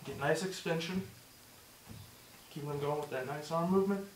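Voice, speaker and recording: A man teaching a movement drill step by step, encouraging and repeating himself, slightly hoarse and short of breath. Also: a little high, moderate pace, roomy sound, far from the mic, slight background noise, low-quality recording. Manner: encouraging, repeats "just like that" and "good". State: losing his voice, a bit out of breath. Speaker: instructor. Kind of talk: step-by-step demonstration lesson.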